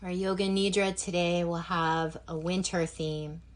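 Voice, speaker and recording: A woman guiding a yoga nidra relaxation session as instructor, gentle and unhurried, leaving long pauses between phrases. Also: fairly low pitch, steady tone. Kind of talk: guided meditation instruction.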